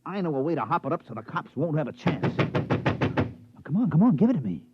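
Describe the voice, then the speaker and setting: Harried male repairman, lively swings, wheedling, slangy, urgent, vintage radio drama, noisy muffled recording. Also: a little high, fairly quick. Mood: anxious, coaxing. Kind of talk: 1950s radio drama acting.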